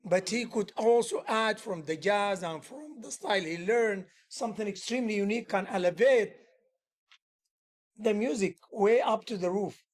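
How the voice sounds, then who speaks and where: A man's voice: sits high, normal speed. A man who is a musician and music teacher, talking in a casual workshop setting. He is earnest and rambling, uses loose grammar and often restarts his sentences.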